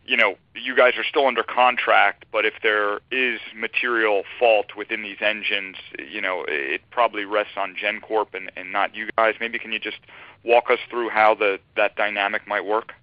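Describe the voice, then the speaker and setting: A man with a fairly low voice puts questions in a loose, conversational analyst's style. He rambles and hedges as he goes. The phone sound is slightly muffled and dry, with no room around it. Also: fairly even intonation, normal speed, no echo. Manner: casual, rambling, hedging. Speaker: financial analyst asking questions. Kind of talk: informal, conversational questioning on an earnings-style call. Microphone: telephone. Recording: dull, dry phone line.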